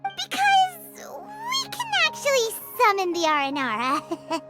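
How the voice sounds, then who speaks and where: Super high squeaky little fairy sidekick from a game, cheeky n eager, really animated. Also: female voice, takes it slowly, exaggerated voice. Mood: excited, boastful. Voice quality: squeaky, childish. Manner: childlike, speaks of self in third person. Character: tiny childlike floating fairy companion, cheeky and eager. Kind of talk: video game character dialogue. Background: music.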